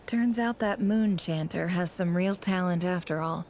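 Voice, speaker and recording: A woman's voice: fairly low pitch, unhurried, darkish tone, slight background noise. A woman voicing a cool, poised, self-assured character with a dry manner in a video game. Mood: composed.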